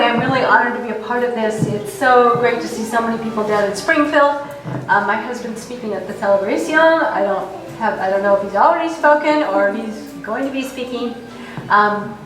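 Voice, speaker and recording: An older woman, a retired teacher serving as guest host, speaking with a hoarse, failing voice in a chatty, apologetic, off-the-cuff way inside a museum gallery. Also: a little high, a bit bright, recorded from a distance. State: hoarse, losing her voice. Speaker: guest host of an art walk. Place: large indoor museum gallery. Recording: echoey hall, voice from afar.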